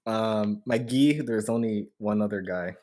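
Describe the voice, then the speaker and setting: A man's voice: lowish voice, measured pace. A man from Hawaii chatting casually as a podcast host, telling a story in slangy speech and acting out what he was thinking. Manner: slangy, animated storyteller who acts out his thoughts.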